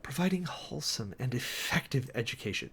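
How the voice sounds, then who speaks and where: A man with a mid-range voice that swings widely in pitch as he reads a document aloud. He is theatrical and emphatic, like a preacher-host performing for viewers. He often digresses into sardonic commentary between the formal passages. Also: animated, brisk, fairly bright tone, breathy edge. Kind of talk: dramatic reading of a government document with commentary.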